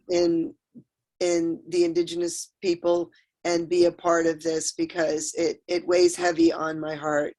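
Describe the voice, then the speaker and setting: A woman taking part in a workshop on a video call, speaking earnestly and haltingly, often repeating words. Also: fairly low pitch. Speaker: workshop participant. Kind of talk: heartfelt comments on a video call.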